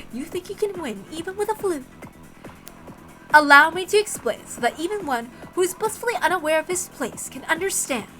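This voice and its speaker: A woman's voice: very high-pitched, lively intonation, measured pace, fairly bright tone, soft background music, voice pushed up, full character voice. A woman voicing a villainous, condescending witch in a dramatic story reading, haughty and mock-polite with cackling laughter. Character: gloating villainous female witch Vergilion, mocking and condescending.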